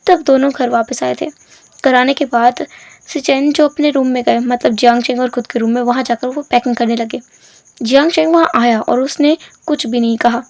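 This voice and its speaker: A woman narrates a story for her own channel, and her voice is hoarse and strained. She talks in a chatty, rambling way and mixes in English words. Her voice sits high, and the recording is dry and close. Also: darkish tone, no room sound. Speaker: story explainer, channel host.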